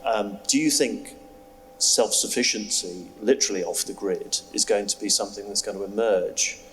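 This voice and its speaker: A man's voice: lowish voice, normal speed, a bit bright. A man moderating a panel discussion, asking long, hesitant questions with many fillers. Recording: distant mic with faint hum.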